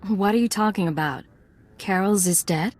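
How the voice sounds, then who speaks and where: An actress playing a composed, resolute young undercover imperial agent in a video game, terse and controlled. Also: female voice, mid-range voice, moderate pace, a little mellow, lightly acted. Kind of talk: dramatic video game acting.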